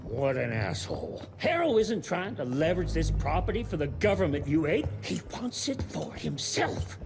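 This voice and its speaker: High-voiced weaselly accountant. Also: male voice, sing-song, normal speed, vocal fry, music in the background. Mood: indignant, bitter. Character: cowardly, greedy, weaselly male accountant, whiny and self-pitying. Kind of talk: video game cutscene acting.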